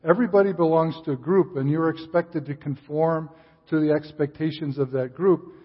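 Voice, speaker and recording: An older man speaks from a church pulpit, relaxed and conversational, full of "uh" and restarts. His voice sits a little high for a man. The sound is muffled and dull, with a long hall echo. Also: moderate pace, breathy edge. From Chicago area, USA. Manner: relaxed, frequent uh fillers and restarts. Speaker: visiting missionary speaker. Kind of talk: sermon with casual asides. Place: church sanctuary.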